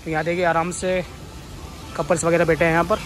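A man's voice: fairly high pitch, flat delivery, fast. A man giving a casual walking tour of a shopping mall, narrating into a phone camera and pointing things out as he walks. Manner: repeats "dekhiye" constantly, pointing things out. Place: outdoor mall plaza, then inside a large shopping mall. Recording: loud music and crowd noise behind.

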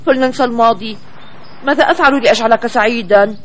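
A woman's voice: fairly high pitch, quick pace, lightly acted. A woman voicing a small, friendly, forgiving bird in a children's cartoon. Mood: kindly.

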